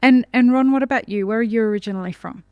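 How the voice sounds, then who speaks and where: A woman's voice: a little high, quick pace, clear, resonant tone, dry recording, muffled recording. A woman hosting a podcast interview who welcomes her guests and asks encouraging questions. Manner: warm encouraging, short backchannels. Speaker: podcast host.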